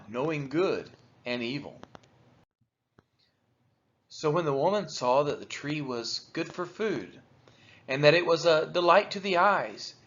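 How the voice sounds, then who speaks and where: A man reads scripture aloud in a somewhat high, very animated voice. His phrasing is deliberate and broken into short units. The recording is a little dull and lo-fi. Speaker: Bible reader for a church's daily read-along.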